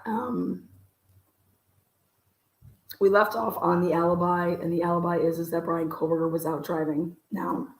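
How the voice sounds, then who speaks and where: A woman with a very raspy, worn-out voice, hosting a casual livestream case commentary in a halting way. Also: fairly low pitch, fairly even intonation, reverberant, low-quality recording. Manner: halting, frequent throat clearing. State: voice worn out from overuse. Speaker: host.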